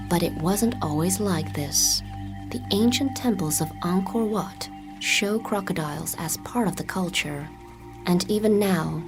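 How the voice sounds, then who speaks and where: A woman from the Netherlands, a native Dutch speaker, reading scripted voice-reel narration in an American accent with a breathy voice. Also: fairly low pitch. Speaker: voice actor.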